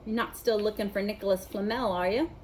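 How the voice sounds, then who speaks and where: A woman's voice: medium pitch, fairly even intonation, a little fast, a little hiss or hum, close to an everyday voice. A woman voicing a secretive, suspicious big male groundskeeper in a storybook, furtive and blustering.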